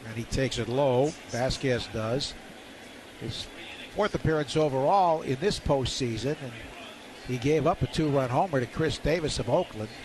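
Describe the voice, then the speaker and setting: A man's voice: mid-range voice, normal speed. A man doing live radio play-by-play commentary of a baseball game from a stadium booth. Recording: noisy outdoor stadium ambience.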